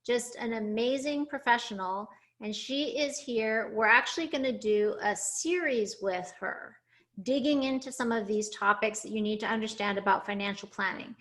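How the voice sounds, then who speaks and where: A woman's voice: on the high side, normal speed, fairly bright tone. A woman hosting a webinar, introducing her guest in an upbeat, effusive way over a video call. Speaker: webinar host.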